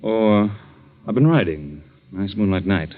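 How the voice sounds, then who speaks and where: An actor playing a genial but evasive husband in a 1940s radio drama, with a breezy, casual manner. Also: male voice, lowish voice, lively intonation, moderate pace, natural voice. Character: smooth, evasive, genial horse-loving husband. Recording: dull old 1940s radio recording.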